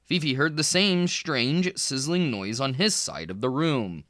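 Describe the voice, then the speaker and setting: Male read-aloud narrator, expressive storybook delivery, bright voice, slow pace. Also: mid-range voice, dry recording. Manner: lively, expressive reading with small voice shifts for characters. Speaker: children's book reader.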